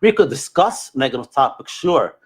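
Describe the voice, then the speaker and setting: A man hosting a podcast, chatting casually in an expressive, informal, slangy way. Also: mid-range voice, normal speed, slightly rough. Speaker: podcast host. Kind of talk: casual chat.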